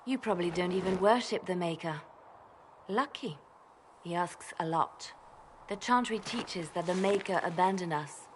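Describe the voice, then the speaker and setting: A woman's voice: fairly low pitch, normal speed, a little hiss or hum, natural voice. A woman playing a devout woman in bitter grief, intense and brooding, in a video game cutscene. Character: bitter, grieving devout woman questioning her faith.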